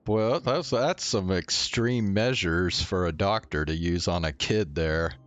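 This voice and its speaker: A man's voice: low-pitched, a little slow. A laid-back man giving casual, sardonic, slangy commentary as a game streamer, on a slightly dull microphone. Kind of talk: casual live commentary over gameplay. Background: game music.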